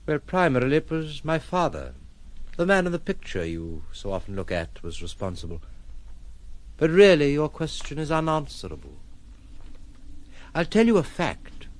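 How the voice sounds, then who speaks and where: An actor in an audio drama playing a detached, world-weary gentleman who speaks in eloquent, formal, ironic phrases. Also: a little high, wildly swooping pitch, normal speed, pauses often. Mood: wry, reflective. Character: wry, detached gentleman recluse, playfully philosophical and world-weary.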